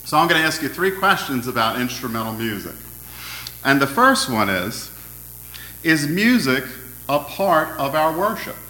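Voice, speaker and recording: A man preaching an interactive sermon, asking his congregation questions in a loud, friendly voice. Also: medium pitch, reverberant, poor audio. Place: church hall.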